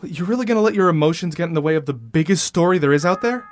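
A man's voice: fairly high pitch, animated, very fast, faint music behind, lightly acted. A man playing a pushy, ambitious newspaper reporter in a radio drama, smug and bossy. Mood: cajoling.

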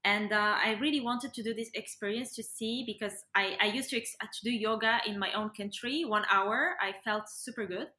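A woman's voice: medium pitch, moderate pace, few pauses, pushed and bright. A young adult woman, talking casually as a guest in a podcast interview. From Morocco. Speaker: interview guest, yoga and breathwork facilitator. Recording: roomy, echoey sound.

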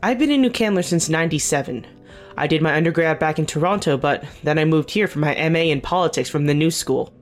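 A woman's voice: low voice, animated, fast. Guarded, earnest middle-aged woman official. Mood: earnest, candid. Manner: hesitant, candid, wry. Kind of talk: naturalistic audio drama dialogue. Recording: music underneath. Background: background music.

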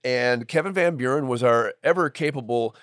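A man's voice: medium pitch, moderate pace, dry recording. A male podcast host with a mid-range voice. He talks in a playful, bantering way, and the sound is dry and close, with little room to it. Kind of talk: scripted introduction and casual joking chat.

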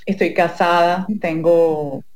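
A woman in her forties, born in Venezuela, a company HR manager, telling her story in an interview with measured hesitations. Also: lowish voice, fairly even intonation, a little mellow. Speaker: guest, HR manager. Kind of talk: interview answers, personal story.

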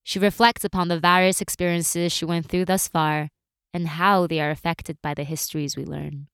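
A woman narrating a scripted introduction in a calm, measured way. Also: lowish voice, clear, resonant tone, no echo, clean studio sound. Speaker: podcast narrator.

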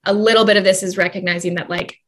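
A woman's voice: mid-range voice, steady tone, quick pace. Rambling, reflective woman guest. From Canada. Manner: rambling, reflective, many fillers. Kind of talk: conversational interview.

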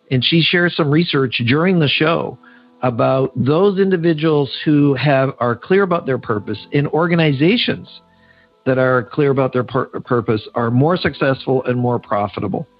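A man's voice: mid-range voice, moderate pace, muffled recording, faint music behind. A man hosting a podcast interview, chatty and playfully teasing his guest. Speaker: podcast host.

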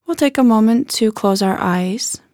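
A woman leading a guided body scan meditation in a gentle, unhurried manner with soft phrase endings. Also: a little high, no room sound, studio quality.

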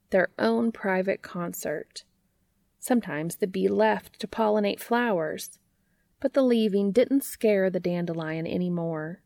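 A woman's voice: mid-range voice, gravelly, a little mellow, no room sound, clean studio sound. A woman reading a scripted story aloud as a storybook narrator. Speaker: fan fiction reader and narrator.

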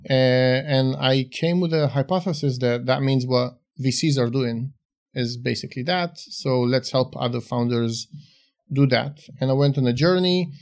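A man's voice: medium pitch, moderate pace. A man in his forties who grew up in Israel, a startup investor chatting frankly in an interview, joking and filling gaps with "uh". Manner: frank and joking, with frequent "uh" fillers. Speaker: guest, venture investor. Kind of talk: casual interview chat.

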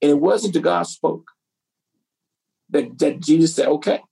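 Gravelly, husky woman preaching. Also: deep voice, normal speed, mellow, low-quality recording. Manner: preaching with rhetorical questions to the audience. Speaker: pastor. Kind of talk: sermon to a women's group.

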